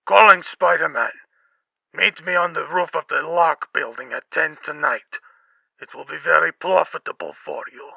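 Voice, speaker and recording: A man playing an unhinged, taunting male supervillain in a comic book dub, sly and mock-wistful as he makes deliberate threats. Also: fairly high pitch, gravelly, pushed and bright, no echo, heavily acted.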